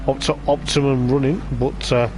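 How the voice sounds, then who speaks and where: A man with a voice going hoarse, giving rambling live race commentary on a stream, with lots of hesitant fillers. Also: medium pitch, little pitch movement, normal speed, noisy background. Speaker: sim racing league commentator.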